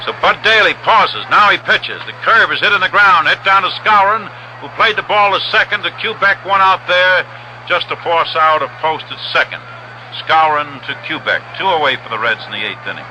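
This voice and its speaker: Male American baseball play-by-play man, 1960s radio, slightly high voice, measured short phrases, heavy background noise. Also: expressive. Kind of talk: 1960s radio sports commentary. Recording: noisy old 1961 radio ballpark broadcast. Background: loud stadium ambience and noise.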